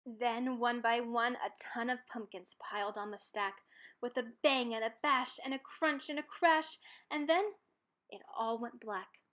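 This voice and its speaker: High-voiced woman reading a children's storybook. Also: unhurried. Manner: expressive, dramatic emphasis on rhymes and key words. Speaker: storybook reader. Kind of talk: children's picture book read-aloud.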